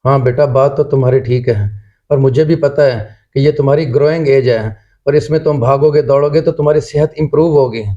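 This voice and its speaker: A man playing an indulgent father in a scripted family drama, speaking earnestly and deliberately. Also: mid-range voice, fairly even intonation, warm tone, dull audio, natural voice. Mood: soothing. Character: indulgent father of a young boy, respectful but firm son.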